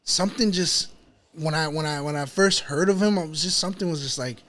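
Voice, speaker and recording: A man's voice: a little high, brisk, fairly bright tone. A man with a New York accent speaking informally and with slang as a guest in a casual interview. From New York City. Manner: informal, slangy, repeats himself. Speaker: rapper, podcast guest.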